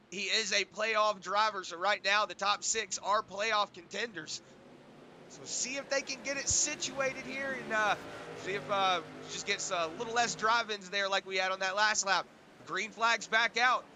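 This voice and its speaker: A man doing relaxed, rambling play-by-play for an online truck race, his voice hoarse from a sinus infection and full of filler words. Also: high voice, noisy background. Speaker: race commentator. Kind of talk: play-by-play sim racing commentary.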